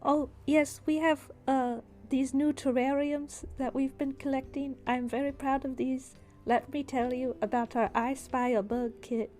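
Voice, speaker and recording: A woman's voice: sits high, steady tone, unhurried, few pauses, warm tone, faint music behind. A woman improvising as game master and explaining things conversationally in a tabletop roleplaying game. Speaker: game master voicing a shopkeeper in a tabletop RPG podcast.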